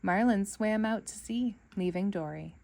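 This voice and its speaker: A woman storyteller reading a children's book aloud in an expressive narrating style for kids. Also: mid-range voice, unhurried, vocal fry.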